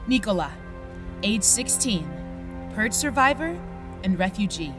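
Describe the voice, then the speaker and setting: A woman's voice: medium pitch, big pitch swings, unhurried. A woman playing a solemn sixteen-year-old girl soldier who speaks formally. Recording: music bed underneath.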